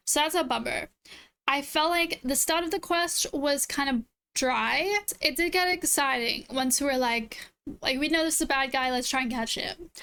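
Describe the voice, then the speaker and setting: A woman's voice: high-pitched, a bit bright. A young woman game streamer chatting casually through her reactions and opinions on a game. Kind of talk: casual gameplay reaction and review. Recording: faint game music behind voice.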